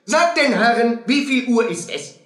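A man's voice: very high voice, lively intonation, normal speed, fairly bright tone, roomy sound. A man playing a pompous fairground showman in a German radio play, addressing a crowd with bombast. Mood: showy, grandiose. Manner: bombastic barker addressing a crowd, broken grammar. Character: pompous fairground showman presenting a learned horse.

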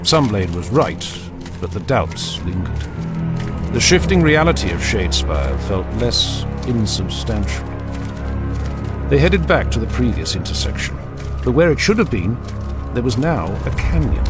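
A man giving scripted, dramatic narration of a fantasy audiobook with grave, deliberate phrasing. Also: lowish voice.

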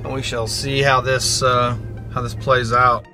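A man's voice: mid-range voice, flat delivery, a little slow. Middle-aged man rambling over music. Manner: rambling, wry, self-deprecating. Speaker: vlogger. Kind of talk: casual vlog chat. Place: inside a parked or moving car.

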